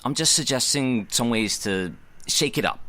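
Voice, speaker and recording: An actor playing an eager, uncompromising young stage director, earnest and pushy, in an audio drama. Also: male voice, medium pitch, expressive, moderate pace, sharp tone. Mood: persuasive.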